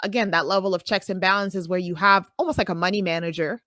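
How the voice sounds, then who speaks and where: A woman's voice: medium pitch, steady tone, fast talker, a bit bright, dry recording, clean studio sound. A woman from Nigeria, a podcast co-host chatting casually and retelling a story with asides.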